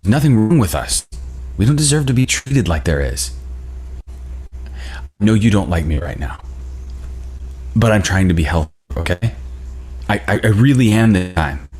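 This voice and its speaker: A man's voice: lowish voice, big pitch swings, normal speed, long pauses, darkish tone. A man at a table read playing an earnest, self-deprecating leader of a peer support group, pleading in manner.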